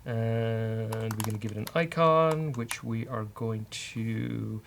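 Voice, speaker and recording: A man's voice: on the low side, slow pace, gravelly, slight background noise. A man hosting a casual coding show, bantering with a co-host and thinking aloud as he works.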